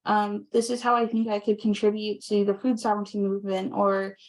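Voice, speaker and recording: A woman guest speaker on a video call, soft-spoken with frequent ums, slightly hoarse from talking long. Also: mid-range voice, little pitch movement, brisk, rough recording. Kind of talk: presentation wrap-up and casual chat on a video call.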